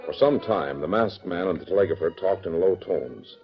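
Man narrating a radio drama, very deep voice, dull muffled sound, music behind. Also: moderate pace.

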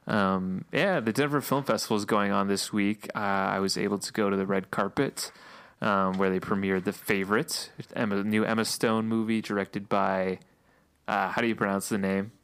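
A man co-hosting a podcast in casual chat, relaxed and chatty, laughing often, saying he is losing his voice. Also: fairly low pitch, moderate pace, clear, resonant tone. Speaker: podcast co-host.

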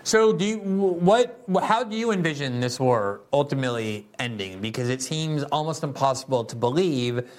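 A man's voice: medium pitch, lively intonation, normal speed, few pauses. A man hosting a political interview show, reading a sponsor spot and asking long, winding questions in an earnest way. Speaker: show host.

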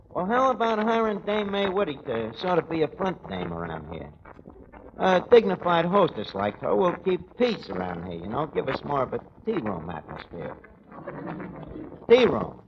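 An actor playing a blunt, flustered, uneducated tavern manager, wisecracking and mangling words in a 1940s radio comedy style. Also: male voice, on the high side. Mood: pleading, scheming. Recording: noisy, muffled vintage radio recording.

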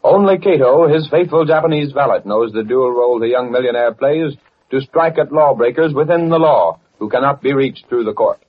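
A man's voice: medium pitch, brisk, husky. A man narrating a vintage radio serial as announcer, in a dramatic, declamatory and portentous scripted style. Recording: dull, muffled old radio recording.

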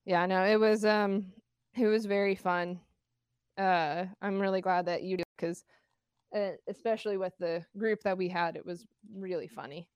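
Chatty woman with a smooth voice. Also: mid-range voice, fairly even intonation, normal speed, darkish tone, dry recording, clean studio sound. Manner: chatty, many fillers and restarts. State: asthmatic cough at times. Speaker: podcast co-host. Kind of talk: casual chat.

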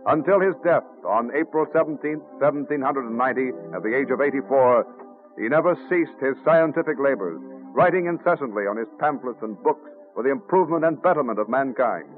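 A man delivering formal, stately scripted narration in the manner of 1940s radio. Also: medium pitch. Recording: tinny old radio recording, music behind.

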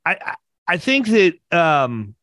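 A man's voice: a little high, sing-song, takes it slowly, a bit bright, no echo, clean studio sound. A middle-aged man hosting a podcast and chatting casually as he interviews a guest. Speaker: podcast host.

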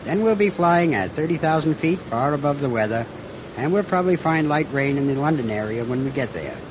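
An actor playing a troubled but resolute airline captain in a classic radio drama, American, with a clipped, plain-spoken delivery. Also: male voice, a little high, animated, brisk, noisy background, lightly acted. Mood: calm, official. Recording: dull lo-fi old radio recording.

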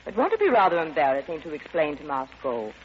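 Haughty scheming duchess, very animated. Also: female voice, sits low, quick pace. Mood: threatening. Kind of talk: old-time radio drama acting. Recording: muffled, reverberant, noisy old radio broadcast.

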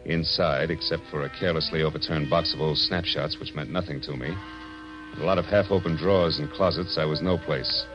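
An American actor playing a world-weary hard-boiled private detective, dry and wisecracking, in a 1940s radio drama. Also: male voice, a bass voice, fairly even intonation, a little fast. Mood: wry narrating. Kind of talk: 1940s radio drama acting, noir first-person narration. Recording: muffled old radio recording with music.

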